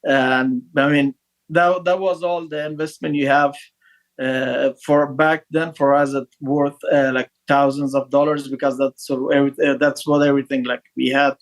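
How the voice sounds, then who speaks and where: A man's voice: mid-range voice, flat delivery, moderate pace, rough recording. A man in his thirties from Afghanistan, a podcast guest speaking in a hesitant way with many fillers and restarts. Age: 30s. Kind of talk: casual interview talk.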